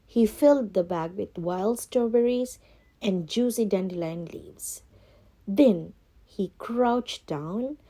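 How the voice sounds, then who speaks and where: A woman reading a scripted children's storybook as narrator, expressive and carefully phrased. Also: medium pitch, slow pace.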